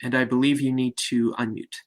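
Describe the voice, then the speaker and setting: A man hosting an online salon, introducing a panel in a formal, measured announcing manner. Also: medium pitch, flat delivery. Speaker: host and moderator. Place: online video meeting.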